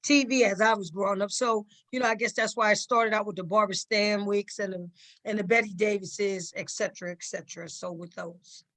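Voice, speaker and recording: Female guest, bright forceful tone, middle pitch, conversational and meandering. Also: steady tone, a little fast. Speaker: stage actor, interview guest. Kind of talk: conversational interview answers.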